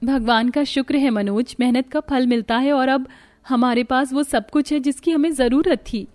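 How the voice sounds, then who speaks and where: A woman's voice: high-pitched, moderate pace, no echo, lightly acted. A woman voicing a humble, patient young wife and loyal friend in a moral cartoon story. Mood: grateful. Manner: gentle, contented, earnest.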